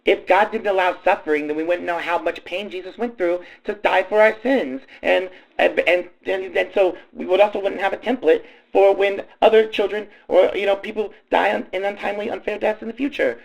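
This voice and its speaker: A man's voice: sits high, steady tone, fairly quick, gravelly, few pauses, muffled recording. A man voicing a perky, naive, self-absorbed small-town girl in an improvised comedy radio play, rambling in folksy gosh-golly talk. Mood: pious, smug.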